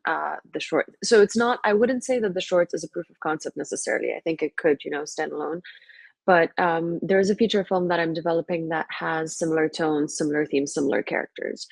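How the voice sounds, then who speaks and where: Low gravelly young woman, reflective. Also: dry recording. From Lebanon. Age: young adult. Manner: reflective, many fillers and restarts. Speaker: filmmaker guest. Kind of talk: casual interview answers.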